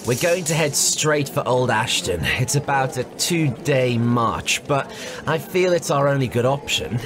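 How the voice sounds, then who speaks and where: Man acting a curt fantasy military commander, animated pitch swings, exasperated authority, audio drama, music underneath. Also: unhurried, close to an everyday voice. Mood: firm, commanding. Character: commanding, impatient young-to-middle-aged male soldier leader. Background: background music.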